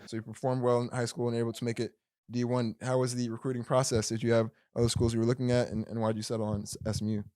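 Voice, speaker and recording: A man's voice: mid-range voice, flat delivery, very fast, a little mellow, no room sound. A man hosting a podcast, asking casual interview questions.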